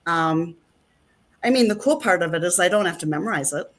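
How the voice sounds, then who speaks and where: A woman's voice: lowish voice, expressive, fairly quick. A middle-aged woman chatting as an interview guest, rambling with plenty of fillers. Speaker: actress and guest. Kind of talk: casual interview chat.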